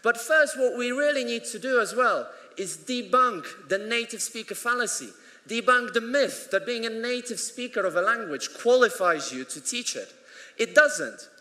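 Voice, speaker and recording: A man whose first language is Polish delivers a conference keynote in a high, bright voice. He is earnest and emphatic, with touches of dry humour. The sound carries a long echo from the hall. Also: expressive, normal speed. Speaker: conference plenary speaker. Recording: echoey hall.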